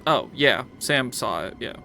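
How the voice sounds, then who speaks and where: A man's voice: mid-range voice, lively intonation, unhurried, creaky, sharp tone, faint music behind. A man casually narrating a tabletop game as game master, with a joking, self-deprecating manner.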